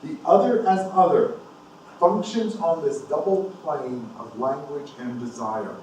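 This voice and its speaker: A man's voice: on the high side, unhurried, darkish tone. Echoey, distant man reading an academic paper. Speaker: academic presenter reading another scholar's paper aloud. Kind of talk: formal academic paper read aloud, with brief asides. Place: indoor university conference room. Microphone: distant from the speaker. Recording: echoey room, distant mic, faint noise.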